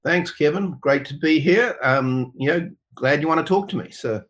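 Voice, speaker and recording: Halting New Zealand man in conversation. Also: on the high side, a bit bright. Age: middle-aged. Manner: halting, rambling, many "you know"s. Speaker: interview guest, tech company co-founder. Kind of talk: conversational interview answers.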